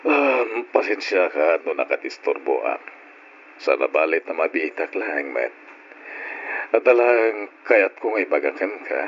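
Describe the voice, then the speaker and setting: A man's voice: deep voice, big pitch swings, unhurried, heavily acted. An actor playing a grave older father who pleads with solemn authority in an old-style radio drama. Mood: polite, hesitant. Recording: dull, noisy old radio drama recording.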